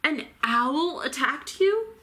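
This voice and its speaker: A woman's voice: high-pitched, very animated, takes it slowly, sharp tone, voice pushed up, exaggerated voice. A woman voicing a tender, assured mother bat in a storybook, speaking with emphatic reassurance. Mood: amazed, tender.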